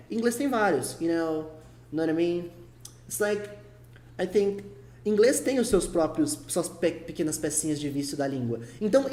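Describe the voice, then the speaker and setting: Warm-voiced Brazilian teacher, roomy echo. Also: male voice, high voice. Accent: Brazilian Portuguese. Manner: chatty, coaching, repeats points for emphasis. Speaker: English teacher. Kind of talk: casual video lesson. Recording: echoey room.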